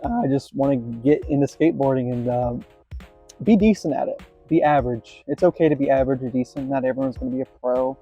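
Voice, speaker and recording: A man's voice: medium pitch, brisk, husky, faint music behind. Rambling self-deprecating young man, lo-fi. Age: 20s. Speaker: skateboarding vlogger. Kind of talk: casual self-filmed vlog commentary.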